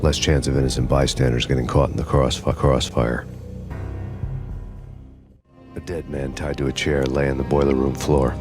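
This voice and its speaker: An actor narrating as a hardboiled noir cop in a video game, deadpan and gravelly. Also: male voice, very deep voice, brisk, over music.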